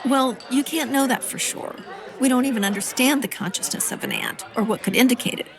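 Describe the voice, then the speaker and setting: A woman acting in an audio drama as a thoughtful academic, reasoning in a considered, gently contradicting way. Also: sits high, a little fast, a crowd in the background. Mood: thoughtful disagreement. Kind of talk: naturalistic audio drama dialogue.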